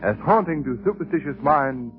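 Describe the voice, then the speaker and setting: A man's voice: a little high, expressive, normal speed. A man giving dramatic scripted narration in 1930s radio style. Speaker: series narrator. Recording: tinny old radio recording with music.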